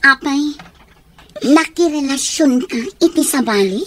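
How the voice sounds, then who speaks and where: A Filipino actress playing a concerned, exasperated woman friend in a radio soap, expressive and full of questions, with a Filipino accent. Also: high voice, a little slow. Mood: shocked, exasperated.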